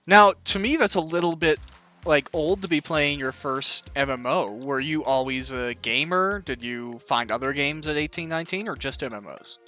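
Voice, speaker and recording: A man's voice: on the high side, moderate pace, bright, forceful tone. A man hosting a casual interview while gaming, conversational and often thinking aloud. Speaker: interviewer and host.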